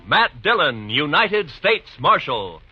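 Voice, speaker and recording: A man with a fairly high voice delivers a dramatic vintage radio introduction. The old broadcast is muffled, with very loud music behind him. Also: sing-song, slow pace. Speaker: announcer. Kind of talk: dramatic 1950s radio announcing.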